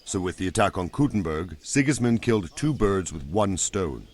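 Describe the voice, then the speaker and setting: A man's voice: sits low, animated, moderate pace, a little mellow. An actor playing a seasoned, plain-spoken soldier captain in a video game, patiently explaining things to a younger man. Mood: grave, instructive. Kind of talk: video game cutscene acting.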